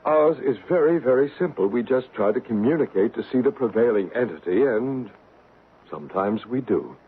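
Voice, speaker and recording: An actor playing a solemn, deliberate male paranormal investigator who narrates and acts in an old radio mystery drama. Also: mid-range voice, animated, slightly rough. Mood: calm, explaining. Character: solemn male paranormal investigator and ghost hunter named Bryce. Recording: noisy, phone-like old radio broadcast recording.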